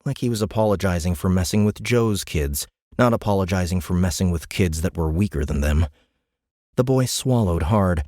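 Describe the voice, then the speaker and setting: A man with a deep voice reads a science fiction story as an audiobook narrator. His delivery is scripted and dramatic. The sound is dry, with hardly any room around it. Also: a little mellow, no room sound.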